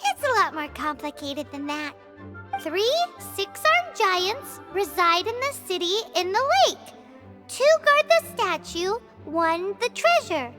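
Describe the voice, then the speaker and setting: A woman's voice: very high-pitched, sing-song, slow pace, exaggerated voice. A woman voicing a tiny, chirpy fairy-like girl companion in a game, who bubbles along and talks about herself in the third person. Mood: excited. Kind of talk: game dialogue voice acting. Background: game music.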